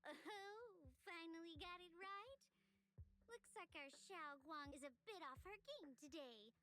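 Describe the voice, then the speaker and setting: A woman's voice: very high-pitched, expressive, a little slow, noisy background. An actress playing a playful, teasing young woman in a video game, with a breezy manner. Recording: loud music behind the voice.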